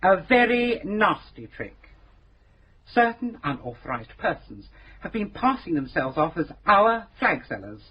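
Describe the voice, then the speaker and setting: An actress playing an imperious middle-aged charity secretary, haughty and commanding, in a radio drama. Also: female voice, fairly low pitch, very animated, measured pace, fairly bright tone, husky, full character voice. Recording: dull old radio broadcast.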